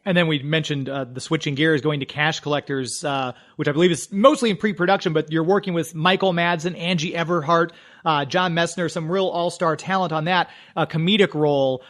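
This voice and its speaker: A man's voice: a little high, fairly even intonation, fairly quick, fairly bright tone, no echo, studio quality. An American man hosting a radio show in a chatty, informal, conversational way. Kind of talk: conversational ad read and interview.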